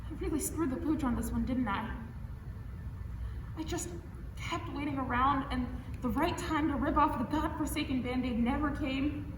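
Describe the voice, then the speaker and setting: A woman's voice: sits high, moderate pace, pauses often, lightly acted. A young woman acting in a student stage play as an exasperated, guilt-ridden girlfriend who rants and swears. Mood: anguished ranting. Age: young adult. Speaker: student actor. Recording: echoey hall, distant mic, noisy.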